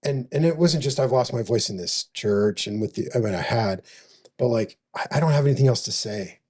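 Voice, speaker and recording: A middle-aged man from Montana, co-hosting a podcast and sharing a personal testimony in a halting, reflective way with many restarts. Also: medium pitch, a little mellow. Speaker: podcast co-host.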